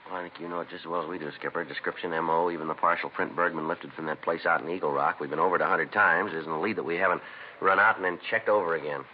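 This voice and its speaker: Terse, dogged detective male actor, American, very low and brisk, clipped delivery, on a dull, noisy vintage radio recording. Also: natural voice. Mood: weary, dogged. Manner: deadpan, clipped, matter-of-fact. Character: terse, dogged male police detective sergeant, dry and businesslike. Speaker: detective and narrator. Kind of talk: 1950s radio crime drama acting, clipped narration.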